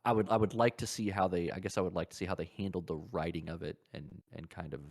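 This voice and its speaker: Man, low register, casual gaming chat, blunt, sweary, podcast co-host. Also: fairly even intonation, fast, dry recording, studio quality.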